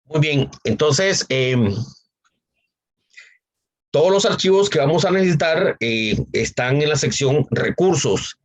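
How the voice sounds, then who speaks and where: A man teaching a university statistics class online, hoarse from a cold, speaking unhurriedly with frequent fillers and repeated phrases. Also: fairly high pitch, animated, takes it slowly, long pauses. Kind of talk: online lecture.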